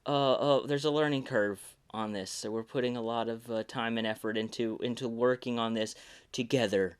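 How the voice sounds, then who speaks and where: Smooth-voiced man hosting a casual podcast. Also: mid-range voice, a bit bright. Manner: easygoing, rambling, lots of filler words and restarts. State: slightly congested from sinus trouble. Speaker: podcast host and puppeteer.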